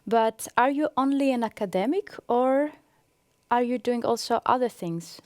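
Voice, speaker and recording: A woman's voice: on the high side, normal speed, no room sound. A woman hosting an academic interview, asking short friendly questions. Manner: friendly, brief prompting questions. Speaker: interview host.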